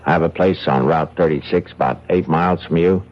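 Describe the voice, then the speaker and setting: An actor playing a worried, earnest farmer, by turns hesitant and insistent, in an old radio drama with dull sound. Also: male voice, low-pitched, fairly quick, close to an everyday voice. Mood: worried, urgent. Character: worried, insistent farmer defending his wife. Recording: dull old radio broadcast, faint noise.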